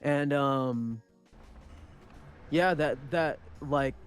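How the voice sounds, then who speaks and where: A man's voice: medium pitch, very slow, clean tone, faint music behind. A young man, Punjabi-speaking by birth, telling a story in a casual podcast chat, with frequent restarts and swearing. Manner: rambling, many restarts and swearing. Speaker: podcast co-host.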